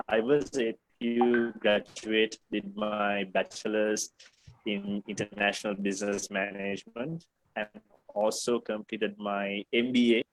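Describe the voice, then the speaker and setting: A man from Sri Lanka, a university staff member, speaking slowly on a video call with many pauses. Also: on the low side, no echo, low-quality recording. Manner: slow, halting, many pauses.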